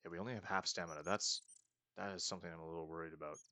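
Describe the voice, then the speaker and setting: A man's voice: low voice, steady tone, racing, bright, forceful tone, no echo. A man casually commenting as he plays a game, chatty and self-mocking as he thinks aloud. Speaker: let's play host.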